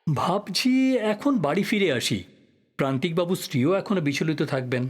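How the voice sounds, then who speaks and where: An earnest investigative journalist speaks in a slightly high, very varied voice. He stays polite and persistent, as in an audio play. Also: animated, moderate pace, husky. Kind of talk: audio drama acting.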